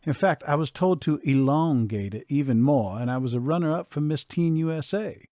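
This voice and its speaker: A man narrating a first-person romance novel in a slow, measured reading voice. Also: fairly high pitch, lively intonation, warm tone, dry recording, clean studio sound. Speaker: audiobook narrator.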